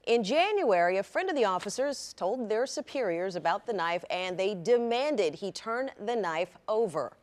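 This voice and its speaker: A woman anchors a television newscast in an American accent. Her voice sits at a middle pitch as she reads reports and interviews guests in a broadcast register. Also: lively intonation, normal speed, hardly any pauses. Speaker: news anchor. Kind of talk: TV news reading and interviewing.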